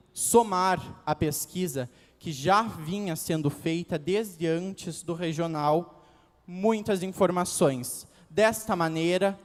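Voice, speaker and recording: An eighteen-year-old man with a gaúcho accent from southern Brazil speaks in a high, unhurried voice. His delivery is formal and declamatory, every phrase placed with care. The voice rings through a large hall with a long reverb, with faint music underneath. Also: a little mellow. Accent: Gaúcho (Rio Grande do Sul) Brazilian Portuguese. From Rio Grande do Sul, Brazil. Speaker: rodeo pageant contestant. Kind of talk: formal oral presentation.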